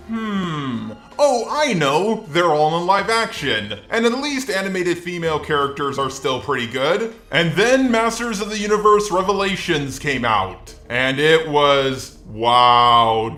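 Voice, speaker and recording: A man's robotic, synthetic voice gives sarcastic video commentary. It sits fairly high and sounds bright and forceful, with a roomy echo around it. The delivery is scripted, opinionated and peppered with swearing. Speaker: video essay host.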